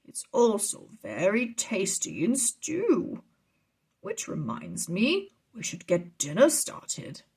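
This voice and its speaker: Woman voicing a calm, husky old wizard. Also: medium pitch, slow, heavily acted. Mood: friendly, hospitable.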